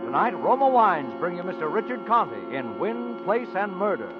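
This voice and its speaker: A man's voice: sits high, very animated, moderate pace. A man giving dramatic, scripted announcements for a 1940s radio drama. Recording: muffled old radio, faint music.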